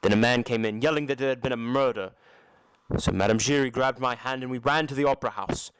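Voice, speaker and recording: A man playing a tormented, embittered masked opera recluse in an audio drama, telling his story in a slow, solemn, dramatic way. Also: medium pitch, clean tone, no room sound, lightly acted. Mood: solemn, reminiscing. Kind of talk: amateur audio drama acting.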